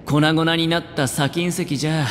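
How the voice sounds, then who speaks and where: A man voicing a suave young gambler in an anime game, theatrical and deliberate, with hidden vulnerability. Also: fairly high pitch, steady tone, a bit bright, a little hiss or hum, lightly acted. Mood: dramatic candour. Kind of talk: scripted anime game voice acting. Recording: long reverb under music. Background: background music.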